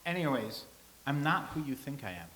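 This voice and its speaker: A man in a staged reading playing a humble, earnest follower of Christ from Nazareth, modest and measured. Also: mid-range voice, sing-song, fairly bright tone. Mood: confused, insistent. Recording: hissy, clearly noisy recording.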